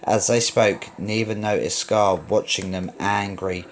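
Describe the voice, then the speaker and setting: A man reading a children's story aloud as narrator, in a dramatic, expressive read-along style. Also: lowish voice, unhurried, slight background noise.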